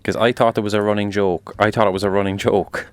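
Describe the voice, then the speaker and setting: A man's voice: fairly low pitch, little pitch movement, fast talker, smooth. A man co-hosting a podcast, giving blunt opinions in casual chat and often trailing off into mumbles. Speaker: podcast co-host.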